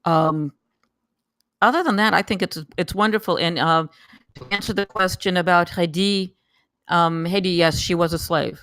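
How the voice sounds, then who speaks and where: Medium pitch, dry close sound, halting delivery, repeated words, casual critique. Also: fairly even intonation, fairly bright tone, no echo. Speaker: table read participant giving script feedback.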